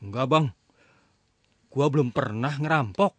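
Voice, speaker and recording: A man's voice: medium pitch, unhurried, dry recording, lightly acted. A man voicing a hesitant young man pulled into a robbery, asking careful questions. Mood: questioning. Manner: timid, questioning. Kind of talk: radio drama storytelling.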